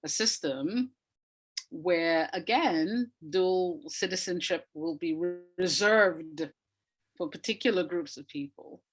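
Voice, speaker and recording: Low-pitched, slow-paced woman in discussion. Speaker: interview guest, arts practitioner. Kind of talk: conversational interview answers.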